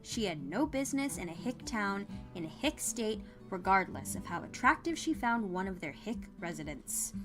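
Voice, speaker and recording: A woman's voice: medium pitch, very animated, moderate pace, few pauses, over music. A woman narrator reading a scripted fan fiction story.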